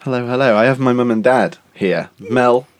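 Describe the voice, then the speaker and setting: A man's voice: medium pitch, normal speed, no echo. A young man hosting his podcast in a casual, chatty way, laughing between phrases and carrying a lingering cough. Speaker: podcast host. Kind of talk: casual chat. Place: indoors at a countryside house.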